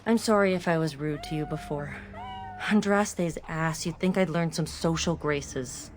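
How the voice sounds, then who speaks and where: A woman's voice: low voice, unhurried, noisy background. An actress playing a brusque, socially awkward woman in a fantasy video game. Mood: sheepish, grateful.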